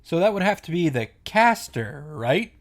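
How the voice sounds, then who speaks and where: A man's voice: a little high, sing-song, moderate pace. A man giving a casual, opinionated story recap and commentary, with emphatic, sarcastic asides. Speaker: video creator recapping a visual novel. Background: background music.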